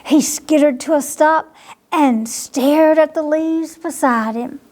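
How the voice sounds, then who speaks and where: A woman's voice: high voice, slow, a little mellow. A woman reading a bedtime picture book to young children, expressively, with questioning asides to her listeners. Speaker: storytime reader at a library.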